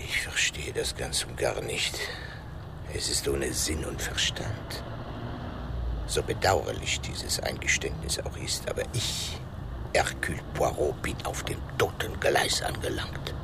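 An actor playing a courteous, meticulous gentleman detective in a German radio drama, speaking politely, formally and deliberately. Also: a bass voice, vocal fry, background noise, heavily acted. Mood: baffled, muttering.

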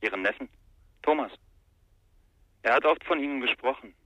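An actor playing an insinuating, menacing stranger on the telephone in a radio crime drama, cool and controlled. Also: male voice, medium pitch, moderate pace, dry recording, close to an everyday voice. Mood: coolly menacing. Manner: cool, controlled, veiled threat. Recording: thin, band-limited telephone-like sound.

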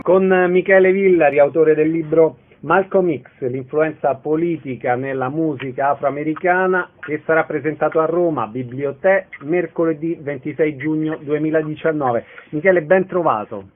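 Guy hosting a radio interview show, voice a bit on the high side, asks stuff and announces events. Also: normal speed, hardly any pauses, narrow, tinny audio, faint music behind.

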